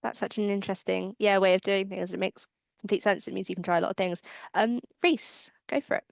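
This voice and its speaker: A woman's voice: medium pitch, steady tone, fairly quick, vocal fry, no room sound, studio quality. A woman moderating a webinar panel, politely asking questions and thanking speakers. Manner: polite, encouraging.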